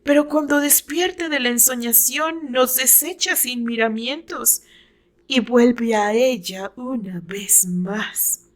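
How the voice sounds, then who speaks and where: Radio drama villainess, acted by a woman narrator: mocking, bitter, breathy at times, very slow and theatrical. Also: fairly high pitch. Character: cruel, bitter blonde rival, mocking and taunting.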